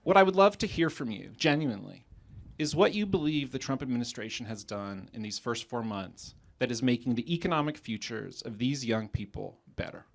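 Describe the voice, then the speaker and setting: A man's voice: medium pitch, lively intonation, a little fast, gravelly. An American man, a professor, putting a polite, discursive question at a live public debate. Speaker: sociology professor, audience questioner.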